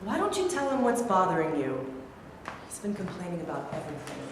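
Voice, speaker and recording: An actress playing a worried, protective young wife who sharply disapproves, in a staged reading. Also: female voice, lowish voice, sing-song, a little fast. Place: large bare rehearsal studio. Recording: echoey room with hiss.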